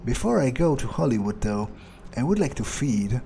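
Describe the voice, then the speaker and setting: A man narrates his game playthrough in character with a mellow, dark voice. He muses drily and takes his time. Music sits faintly behind him. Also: medium pitch, big pitch swings, normal speed, gravelly, slight background noise, low-quality recording. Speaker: gamer playing in character.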